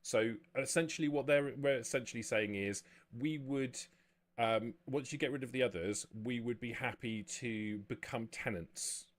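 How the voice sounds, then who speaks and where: A man speaking as game master, explaining the rules of a tabletop roleplaying game in a measured way. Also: medium pitch.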